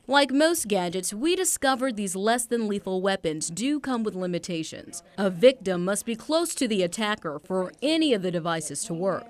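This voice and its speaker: A woman's voice: medium pitch, lively intonation, moderate pace, hardly any pauses. Woman reporting a TV news story. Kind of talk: scripted news narration.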